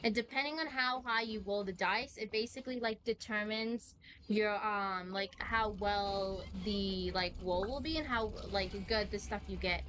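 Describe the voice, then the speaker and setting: A young woman chatting casually in a rambling, excitable, slangy way as she streams a game. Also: medium pitch, normal speed. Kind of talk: casual live-stream chat while playing. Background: game music.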